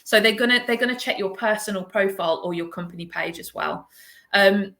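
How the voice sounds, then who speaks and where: Bright chatty Birmingham woman. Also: medium pitch, a little fast, vocal fry, reverberant. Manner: chatty, laughs often, many fillers. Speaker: host, agency director. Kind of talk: live video interview. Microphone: video call.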